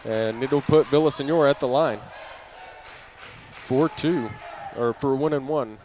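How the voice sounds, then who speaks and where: A man's voice: medium pitch, unhurried, darkish tone, some chatter behind. Man calling basketball over gym noise. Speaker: play-by-play announcer. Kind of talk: live basketball radio commentary. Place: indoor basketball gym at a live game. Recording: noisy gym ambience, bouncing ball. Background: clear game noise and ball bounces.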